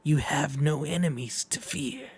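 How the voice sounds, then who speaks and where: Quiet, portentous anime soothsayer, unhurried and reassuring, played by a male reader. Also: fairly high pitch, breathy edge, heavily acted. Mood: solemn assurance. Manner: slow, portentous, hushed. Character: solemn fortune teller promising great luck.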